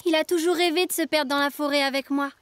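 A woman's voice: sits high, steady tone, fast talker, fairly bright tone. A woman voicing a bossy, stubborn little schoolgirl in a cartoon, blunt and contrary. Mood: accusing.

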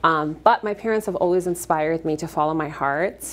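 A woman in her thirties from Afghanistan, with a notably low voice, speaks earnestly and fluently with soft ums. She is a guest on a TV studio set, talking into a lapel mic. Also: fairly quick. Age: 30s. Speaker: actress guest. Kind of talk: morning TV interview.

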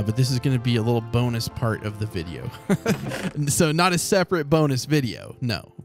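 A man casually commenting on his own gameplay, rambling and correcting himself as he goes, his voice a little hoarse from recording for a long time. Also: medium pitch. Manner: rambling, self-correcting, chatty. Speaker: Let's Play creator.

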